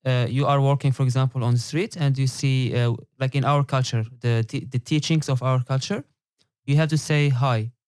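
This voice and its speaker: Halting man, English speaker. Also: medium pitch, steady tone, a little mellow, clean tone, no room sound, clean studio sound. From Turkey. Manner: halting, many fillers and restarts. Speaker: podcast guest. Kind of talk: casual discussion.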